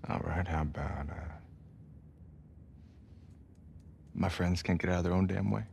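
An actor playing a steady, loyal young survivor in a video game drama, low-key and dry. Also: male voice, quick pace, gravelly, lo-fi sound, a little hiss or hum. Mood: gently supportive. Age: young adult.

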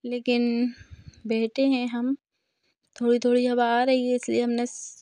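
A woman's voice: fairly high pitch, monotone, a little slow, dark tone, no room sound. A woman, narrating a family vlog in a casual way. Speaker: vlogger.

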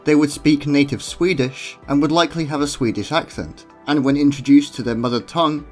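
British man narrating an argued essay. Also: mid-range voice. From Britain. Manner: measured, argumentative. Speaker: channel host. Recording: voice-over with faint music underneath.

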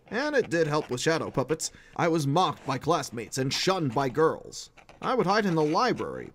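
A man's voice: medium pitch, lightly acted. A man voicing an eccentric, obsessive middle-aged paranormal researcher, reading his journal as dramatic audiobook narration in an erudite, emphatic way. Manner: theatrical, erudite, emphatic delivery.

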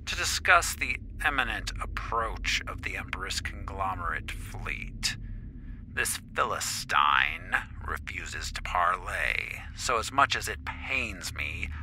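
An actor playing a pompous, cold and venomous corporate counselor with formal, deliberate diction and a gravelly voice in a science fiction audio drama. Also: male voice, deep voice, animated, sharp tone, slight background noise, heavily acted, rough recording. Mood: haughty, cold.

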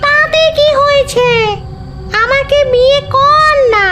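A woman playing a lonely, lovesick female ghost in a comedy cartoon, pleading and scheming in a drawn-out sing-song. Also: extremely high pitch, steady tone, over loud music. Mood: pleading, coaxing. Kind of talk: Bengali comedy cartoon voice acting.

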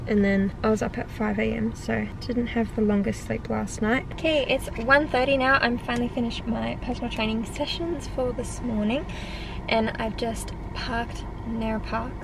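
A young Australian woman talks to her camera from the driver's seat of a parked car, chatty and rambling, often laughing at herself. Her voice sits a little high, with a gravelly fry at the ends of phrases, and there is a clear background noise of the car around her. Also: steady tone, normal speed. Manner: chatty, rambling, self-amused. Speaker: vlogger and personal trainer. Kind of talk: casual vlog chat to camera. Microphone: camera on the dashboard.

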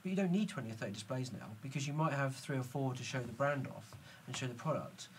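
Podcast host, talks fast, opinionated, stumbles on repeats, light room noise. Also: male voice, mid-range voice. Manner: opinionated, quick, repeats words. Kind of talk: podcast panel discussion. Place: dim studio lounge with screen.

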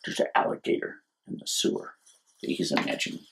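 A middle-aged American man reading a picture book aloud and chatting to children as a storytime host, with an unhurried manner. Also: a bit bright, a little hiss or hum. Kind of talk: picture book read-aloud and casual chat to young audience.

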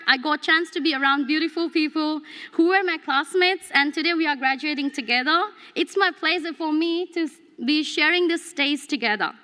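High bright woman's voice in a reverberant hall. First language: not English. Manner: earnest, reads from notes with small restarts. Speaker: graduate student speaker. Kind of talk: prepared graduation speech. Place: large convention hall, at a lectern. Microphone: lectern mic.

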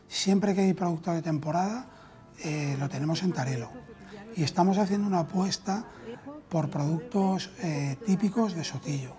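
A Castilian Spanish man in his thirties talks conversationally as a restaurateur being interviewed. He is miked on a lapel in a dining room, with music clearly playing behind him. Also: fairly high pitch, moderate pace, warm tone. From Spain. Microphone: lapel mic.

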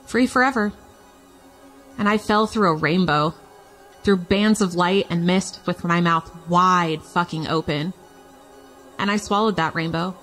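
A woman acting as a wistful but blunt female fighter, with a slightly low voice. She speaks confessionally, as in an audio drama, over faint music. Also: measured pace, pauses often, fairly bright tone, low-quality recording. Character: wistful, foul-mouthed woman fighter confiding a memory.

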